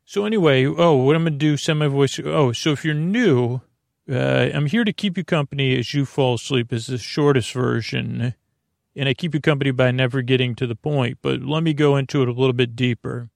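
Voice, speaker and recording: A man with a creaky voice hosting a bedtime podcast, rambling soothingly and haltingly to the listener with meandering self-corrections. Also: mid-range voice, no room sound, studio quality. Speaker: podcast host. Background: music.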